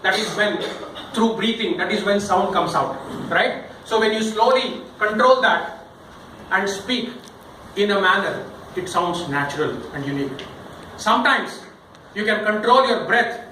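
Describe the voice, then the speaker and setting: A man with an Indian accent giving an interactive public speaking training talk, jokey and animated, often checking with his listeners. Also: high voice. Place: indoor room in front of a live group. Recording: very echoey room, distant mic, noisy.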